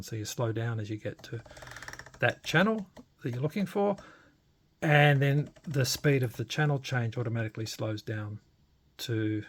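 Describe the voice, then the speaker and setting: A man narrating a casual, unscripted hands-on demo, informal and halting as he thinks aloud. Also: medium pitch, slight background noise. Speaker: hobbyist radio modifier presenting a demo.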